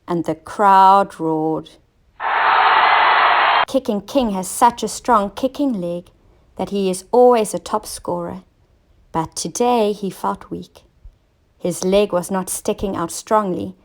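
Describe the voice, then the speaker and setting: A woman teacher narrating a scripted children's story, expressive and clearly enunciated for young listeners. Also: mid-range voice. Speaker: teacher reading stories to children.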